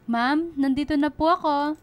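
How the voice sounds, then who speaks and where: Actress as a high-voiced humble Filipino mother. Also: moderate pace, background noise, lightly acted. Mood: humble, grateful. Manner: polite, deferential, gentle. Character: humble, hardworking single mother working as laundrywoman. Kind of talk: Filipino radio drama acting.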